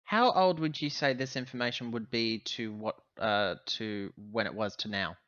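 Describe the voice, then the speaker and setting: A man's voice: on the low side, moderate pace, fairly bright tone, muffled recording. A man chatting casually as a player in a tabletop roleplaying game. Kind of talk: casual game chat and questions.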